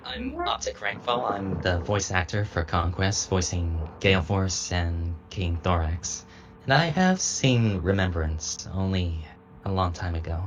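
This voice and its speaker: Male panelist, very low register, casual talk, faint background noise. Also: big pitch swings, unhurried, dull audio. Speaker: voice actor on a reaction panel. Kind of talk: casual self-introduction and chat.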